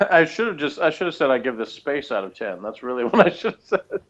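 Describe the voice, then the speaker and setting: A man's voice: medium pitch, very animated, a little fast, a bit bright. A man co-hosting a casual review chat, with a dry, teasing way of talking. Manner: dry, teasing, laughs often. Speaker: podcast co-host. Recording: dull lo-fi sound.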